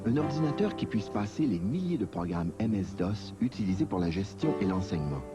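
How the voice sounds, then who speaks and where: A man narrating a scripted commercial as an announcer, with a lively delivery. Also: fairly low pitch, fast talker. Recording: old TV ad with loud music. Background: loud music.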